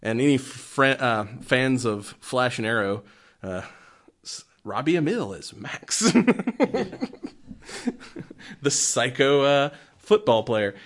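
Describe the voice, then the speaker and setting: A man's voice: mid-range voice, expressive, slow, long pauses. A man co-hosting a horror film podcast in casual chat, joking and often laughing as he talks. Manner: jokey, laughs often while talking. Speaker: podcast co-host reviewing horror films.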